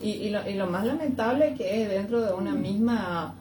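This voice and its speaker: Female radio interviewer, Paraguayan accent, mid-pitched, emphatic conversational style, roomy and noisy recording. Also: moderate pace, recorded from a distance. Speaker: interviewer, show host. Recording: echoey room, noisy background.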